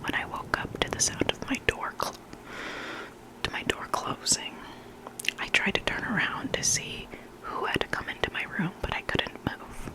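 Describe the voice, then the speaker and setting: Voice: normal speed, rough recording. Whispered creepy ASMR storytelling, close to the mic. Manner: whispering throughout, sometimes repeating words. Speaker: ASMR reader of listener stories. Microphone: close ASMR microphone.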